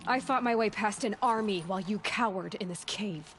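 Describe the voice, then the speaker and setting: A young woman playing a defiant young huntress who speaks in direct, forceful retorts in video game dialogue. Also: mid-range voice, normal speed, close to an everyday voice. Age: young adult. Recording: game audio with music behind.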